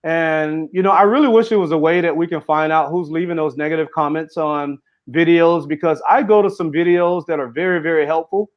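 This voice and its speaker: A man's voice: on the high side, brisk, hardly any pauses, lo-fi sound. A man giving an earnest interview as a guest author and speaker, running his sentences together with fillers. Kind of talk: casual interview conversation.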